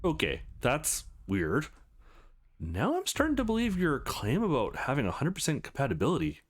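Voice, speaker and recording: A man's voice: medium pitch, huge pitch swings, normal speed. A man voicing a tough young woman combat trainer in a visual novel, commanding, teasing and encouraging. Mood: challenging. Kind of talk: visual novel read-aloud.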